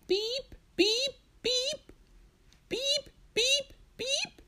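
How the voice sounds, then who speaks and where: Piercingly high beeping oven timer. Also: female voice, drawn-out words, sharp tone, exaggerated voice. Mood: insistent. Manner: repeated clipped beeps.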